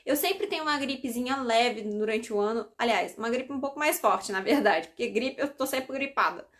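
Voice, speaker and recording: High-voiced chatty young woman vlogger. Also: normal speed, fairly bright tone. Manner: chatty, explanatory, frequent asides to viewers. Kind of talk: casual talk to camera.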